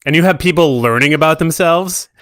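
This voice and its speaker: A man's voice: fairly high pitch, brisk, fairly bright tone, no echo, studio quality. A man co-hosting a podcast in casual chat, with a laid-back, joking manner. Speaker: podcast co-host.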